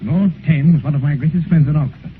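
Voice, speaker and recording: An actor playing an earnest, morally shocked English gentleman painter, urgent and pleading, in a 1950s radio drama. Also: on the high side, fast talker, close to an everyday voice. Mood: reproachful. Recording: noisy, muffled 1950s radio recording.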